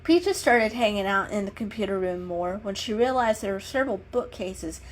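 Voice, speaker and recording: A woman storyteller giving an expressive, unhurried dramatic reading of an animal story. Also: mid-range voice, rough recording.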